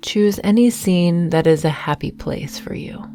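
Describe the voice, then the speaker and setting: A woman guiding a meditation with affirmations in a soothing, gentle, unhurried manner, leaving long pauses. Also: fairly low pitch, background noise. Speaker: meditation guide. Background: soft meditation music with singing bowls.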